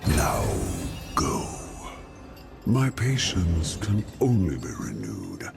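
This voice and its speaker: A man playing a haughty, brooding, menacing inhuman ruler in a video game, speaking with slow theatrical disdain and breathy, gravelly edges. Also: deep voice, wildly swooping pitch, full character voice. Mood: cold satisfaction. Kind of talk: video game cutscene acting. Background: loud music.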